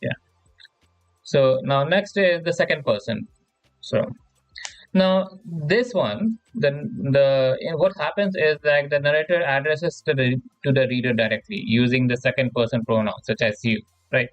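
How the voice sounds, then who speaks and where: A man giving an informal tutorial lecture in a halting, repetitive way, often checking in with the listener. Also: mid-range voice, no echo, dull audio. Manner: halting, repetitive, often seeks agreement with "right?". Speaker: tutorial presenter. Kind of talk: informal lecture explaining slides.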